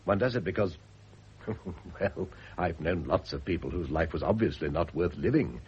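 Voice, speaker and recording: An actor playing a cynical, witty, well-off British husband in a radio drama, speaking with urbane irony. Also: male voice, deep voice, moderate pace, darkish tone, slightly rough. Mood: cynical, philosophising. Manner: urbane, ironic, epigrammatic. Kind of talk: classic radio drama acting. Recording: muffled, noisy old radio recording.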